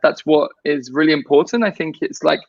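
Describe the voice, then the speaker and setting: A man's voice: a little high, fairly quick, creaky, no echo, highs cut off. A man guest in a casual conversation, rambling with many restarts and fillers.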